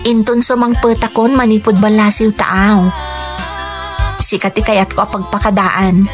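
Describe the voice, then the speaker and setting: A woman's voice: mid-range voice, little pitch movement, measured pace, natural voice. A woman playing an earnest, determined young daughter in a Philippine radio drama, speaking persuasively over music. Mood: pleading, earnest.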